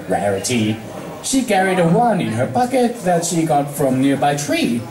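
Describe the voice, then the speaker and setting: An amateur reader narrating fan fiction aloud in a halting way, stumbling over words. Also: medium pitch, recorded from a distance. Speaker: story reader. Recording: echoey room.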